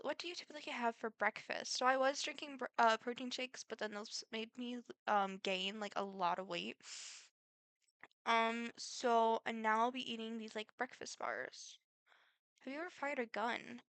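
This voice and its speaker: Midwest teen girl doing a q&a vlog, bright kinda high voice, rambly, dry close sound. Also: vocal fry, no echo. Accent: Midwestern American. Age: teens. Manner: chatty, rambling, full of fillers. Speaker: vlogger. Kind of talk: casual get-to-know-me Q&A.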